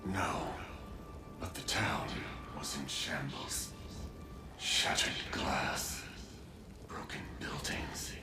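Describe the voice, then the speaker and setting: An actor playing a weary, haunted male spirit in a video game, slow and solemn, with a breathy, gravelly half-whisper. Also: rumbling low, exaggerated voice. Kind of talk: video game drama acting. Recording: loud music over the voice.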